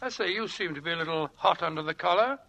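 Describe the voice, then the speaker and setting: A good-natured, bumbling English gentleman of old radio drama. The voice is high and sharp, blustery and dithering, with a British accent and faint noise on the recording. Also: quick pace, vocal fry, muffled recording. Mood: amiable. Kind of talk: 1940s radio drama acting. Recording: noisy vintage radio broadcast.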